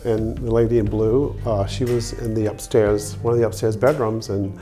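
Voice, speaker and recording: Man, mellow tone, halting storyteller, interview setting, faint guitar music behind. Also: on the low side, flat delivery. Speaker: inn owner being interviewed.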